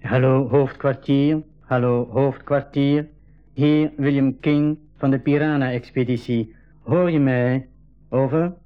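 An actor playing a friendly, patient expedition leader who explains things in a radio play. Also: male voice, medium pitch, fairly even intonation, slow, clean tone, faint music behind, lightly acted. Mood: businesslike. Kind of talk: 1980s school radio drama acting. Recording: dull 1980s radio recording.

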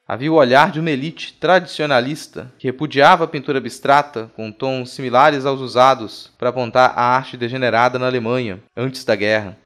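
A man's voice: mid-range voice, fairly bright tone. A man with a Brazilian accent hosting a podcast, reading a scripted art history narration with explanatory asides. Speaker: podcast host.

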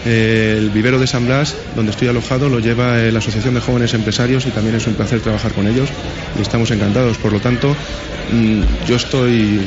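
A man with a mid-pitched voice gives a spontaneous testimonial as an interview guest. The recording is dull, with a busy crowd clearly audible behind him. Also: steady tone, a little fast. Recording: dull sound, loud crowd noise.

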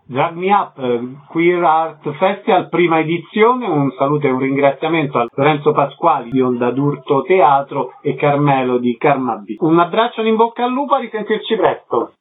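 A man's voice: fairly high pitch, expressive, normal speed, few pauses. A man hosting a radio show, putting questions to his guests in an interview. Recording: dull lo-fi radio audio.

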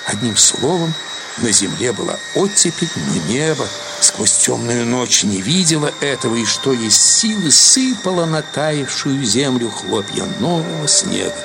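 A man's voice: mid-range voice, very animated, measured pace, gravelly, very noisy. A man giving a dramatic literary reading as the narrator of a story, with music behind him. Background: loud music.

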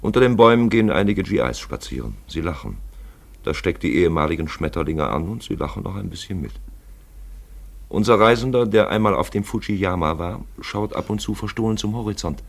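An observant chronicler narrating a literary radio drama. The voice is very deep and gravelly, with lively rises and falls. He describes things plainly, like a storyteller. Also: brisk, exaggerated voice. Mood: wistful. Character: observant male chronicler of a grey postwar city.

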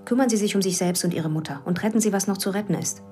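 An actress playing a cool, calculating police investigator who talks tersely, with whispered inner asides. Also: female voice, medium pitch, fast talker, warm tone, soft background music, close to an everyday voice. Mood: cool, pressing. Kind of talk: radio play acting.

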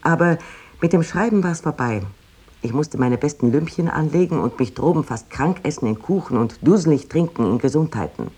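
A woman's voice: low voice, expressive, moderate pace, warm tone, husky. An actress playing a wry, rapturous woman poet of the 19th century, reading letters expressively in a radio play. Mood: wry amusement.

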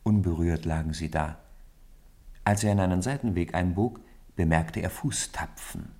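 Ein Mann trägt als Erzähler eines Hörspiels einen literarischen Text vor. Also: male voice, sits low, normal speed, warm tone. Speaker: narrator. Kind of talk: scripted storybook narration for radio.

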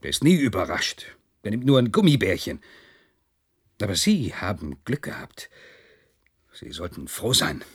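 An actor playing a wary, dismissive general investigating a case in a German radio play, with a curt manner. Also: male voice, lowish voice, wildly swooping pitch, a little slow, long pauses, husky, heavily acted. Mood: exasperated.

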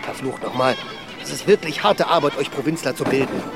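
An actor in a radio play voicing a boisterous, cynical travelling player with a theatrical, mocking manner. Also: male voice, mid-range voice, a little fast, creaky, over loud music, lightly acted. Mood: ranting, mocking. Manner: theatrical, mocking, swinging from hushed to ranting. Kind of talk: radio drama acting.

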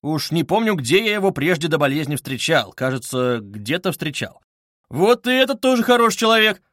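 A man's voice: a little high, normal speed, a bit bright, dry recording. Male reader as feverish young man, irritable, brooding, erratic, abrupt, agitated, insistent, audiobook novel, dry recording. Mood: abruptly animated.

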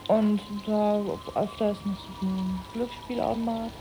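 A woman's voice: medium pitch, level tone, measured pace, music in the background. A woman describing things in casual, conversational speech. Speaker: interviewee. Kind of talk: casual chat, listing.

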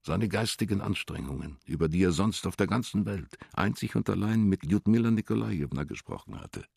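A man's voice: sits low, expressive, a little fast, creaky, darkish tone, husky, no room sound, clean studio sound. A man narrating a literary German radio drama from a script, as its storyteller.

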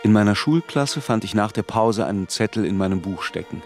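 An actor playing a reflective narrator who recalls his youth in measured literary first-person narration. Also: lowish voice, expressive, a little mellow, soft background music.

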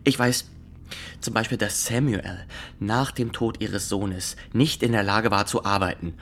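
A man's voice: lowish voice, fairly bright tone, slight background noise. Stiff, rule-bound young male inspector. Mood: earnest, firm. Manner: stiff, formal, deliberate. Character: rigid, rule-bound young fox inspector, official and earnest. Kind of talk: radio drama acting.

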